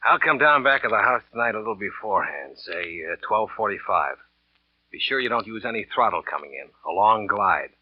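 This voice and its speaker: An actor playing a cool, methodical murder plotter in a terse 1940s radio noir style. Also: male voice, lowish voice, animated, a little fast, breathy edge, no room sound. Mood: cool, commanding. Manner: terse, controlled. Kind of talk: 1940s radio noir acting, hard-boiled. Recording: dull, hissy vintage radio recording.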